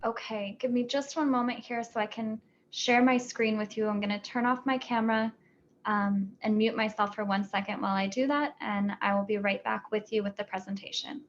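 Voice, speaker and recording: A woman guest presenter speaking on a video call, brisk and polite. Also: mid-range voice, steady tone. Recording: slightly muffled call audio with faint noise.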